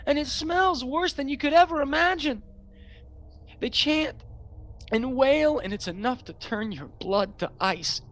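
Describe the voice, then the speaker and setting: A woman's voice: high voice, expressive, unhurried. A woman playing an earnest, frightened boy in a radio play, telling of his torment in a haunted, hesitant way. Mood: dread-filled.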